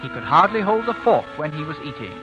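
A man's voice: a little high, big pitch swings, quick pace. A man narrating a 1940s radio drama as its host, in a solemn, literary way. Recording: dull 1940s radio broadcast. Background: music.

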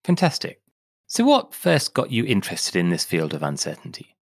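A male podcast host with a fairly low voice that moves a lot in pitch. He reads his prepared introduction in a measured, expressive way and then moves into the interview. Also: gravelly, slightly rough.

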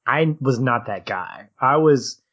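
A man co-hosting a podcast talks through a film in a relaxed, animated way, laughing readily and circling back to restart his thoughts. His voice sits at a middling pitch. The audio is a little dull. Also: slow, slightly rough. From Arkansas. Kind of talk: casual film discussion.

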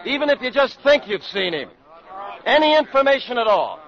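An actor playing an earnest government doctor, urgently and politely appealing to a crowd in a 1950s radio drama. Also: male voice, very high voice, big pitch swings, moderate pace, creaky, a bit bright, heavily acted. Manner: insistent, polite public appeal, projecting. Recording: muffled old radio broadcast.

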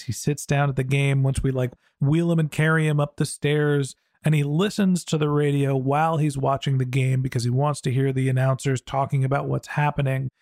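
A man's voice: medium pitch, darkish tone, no room sound, clean studio sound. A man speaking as a podcast host, in interview talk and sponsor reads.

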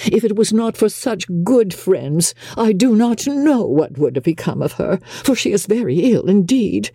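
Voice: medium pitch, dark tone, breathy edge, dry recording, clean studio sound, pitched above the performer's own voice, heavily acted. An audiobook narrator voicing a fussy, effusive middle-aged mother with a gushing, emphatic manner in a period novel.

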